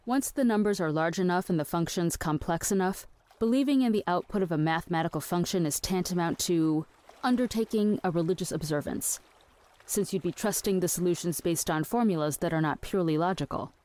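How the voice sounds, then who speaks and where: Earnest, lower-voiced woman researcher, as an actress plays her. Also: a little mellow, close to an everyday voice. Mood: earnest, pedantic. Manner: measured, pedantic, matter-of-fact. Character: Lee, earnest, evasive woman researcher with fringe theories. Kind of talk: scripted audio drama acting.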